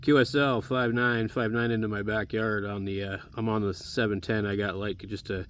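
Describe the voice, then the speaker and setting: A man's voice: fairly low pitch, flat delivery, moderate pace, fairly bright tone. An American man talking casually and plainly as a ham radio operator making a demonstration video in a backyard. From Arizona, United States. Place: outdoor backyard. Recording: noisy outdoor recording with radio static.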